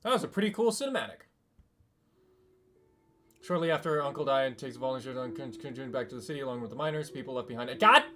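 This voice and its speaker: A man's voice: medium pitch, expressive, fast talker, pauses often, sharp tone. A man giving casual playthrough commentary as a streamer, reacting informally and jokingly to a game.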